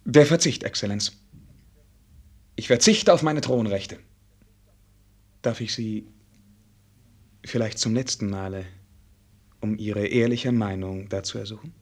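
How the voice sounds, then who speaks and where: An actor playing a brooding, self-doubting prince in a German radio play, husky, reflective and stiffly formal. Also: male voice, on the low side, a little slow, pauses often, a little mellow. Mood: resigned, formal.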